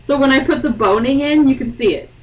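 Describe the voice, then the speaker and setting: A woman's voice: sits high, quick pace, a little mellow, muffled recording. A woman host chatting casually and cutting in with sarcastic commentary, indoors. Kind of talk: casual chat, heckling commentary. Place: sewing room, indoors. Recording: distant mic, background noise.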